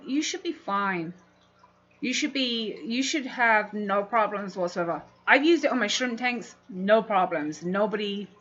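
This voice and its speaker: A woman's voice: mid-range voice, a bit bright. A woman from London with a London English accent, chatting casually and in a rambling way as she hosts a livestream and answers viewers. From London, England. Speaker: livestream host, fishkeeping hobbyist. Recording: dull, muffled livestream audio.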